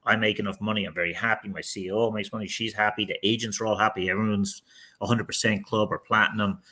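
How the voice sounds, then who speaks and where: A middle-aged man with a low voice and a bright, forceful tone. He answers interview questions candidly and at length. He often restarts his sentences as he thinks aloud. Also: steady tone, fast. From outside the United States. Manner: candid, rambling, with frequent restarts. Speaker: real estate team leader, podcast guest.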